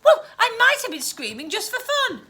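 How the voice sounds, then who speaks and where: Woman as a high-pitched, posh, haughty schoolgirl. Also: huge pitch swings, measured pace, bright, forceful tone, heavily acted. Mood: defiant. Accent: posh upper-class English. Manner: prim, indignant. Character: posh, haughty young schoolgirl, indignant and defiant. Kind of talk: children's storybook read aloud.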